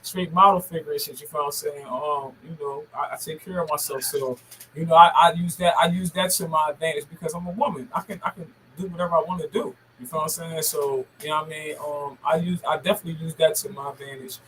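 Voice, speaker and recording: Deep voiced american woman from camden, podcast guest, swears a lot, real assertive, bit of noise on it. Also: steady tone, fast talker, fairly bright tone, rough recording. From Camden, United States. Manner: assertive, bossy, heavy swearing. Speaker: podcast guest, music artist. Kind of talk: casual interview chat.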